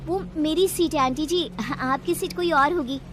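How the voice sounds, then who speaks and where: A woman voicing a bold, pushy, animated young woman in a horror story. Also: sits high, normal speed, a little hiss or hum, voice pushed up, natural voice. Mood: alarmed. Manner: animated, pleading, exclaiming. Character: excitable young woman Seema, bold, pushy, thrill-seeking friend.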